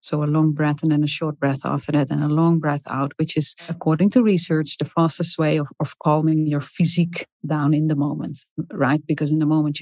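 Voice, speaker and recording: A middle-aged woman speaking in a calm, soft, deliberate way as a podcast guest, with many small pauses and ums. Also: sits low, no room sound. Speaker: guest expert on leadership. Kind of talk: podcast interview.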